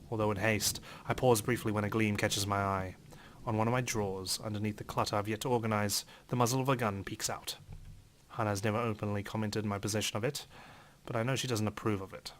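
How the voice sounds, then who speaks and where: A man reading a visual novel aloud as theatrical first-person narration, in character as an indignant gentleman. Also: on the low side, slight background noise. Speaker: let's player reading a game aloud.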